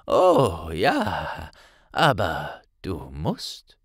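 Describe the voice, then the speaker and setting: A narrator voicing an unbothered old male wizard professor with a measured manner in an audiobook. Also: low voice, huge pitch swings, husky, no room sound, clean studio sound, voice pushed down, exaggerated voice. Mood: calm. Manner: measured, unhurried.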